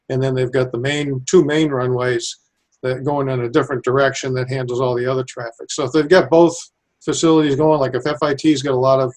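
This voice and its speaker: Male interviewee, engineer type. Flat intonation, mid-pitched, explaining things informally and methodically. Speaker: civil engineer, airport designer being interviewed.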